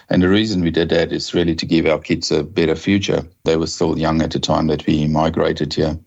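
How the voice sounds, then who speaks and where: A South African man in his fifties, a telecom executive giving a measured interview with small restarts. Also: low voice, darkish tone, lo-fi sound. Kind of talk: podcast interview.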